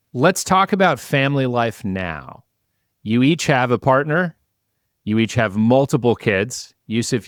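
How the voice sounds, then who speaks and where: A man presents and interviews as a podcast host. His mid-pitched voice moves with animated intonation, and the sound is close and dry, with soft music underneath. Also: no echo. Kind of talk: scripted podcast intro and conversational interviewing.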